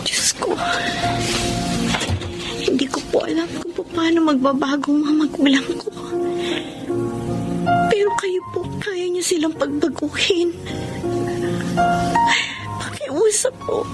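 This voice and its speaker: A woman's voice: very high-pitched, slow, pauses often, loud music behind the voice, exaggerated voice. A woman playing an earnest, dutiful, suffering young eldest daughter in a radio drama, with a tender manner. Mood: pleading. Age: young adult. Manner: tender, pleading. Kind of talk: Tagalog radio drama acting.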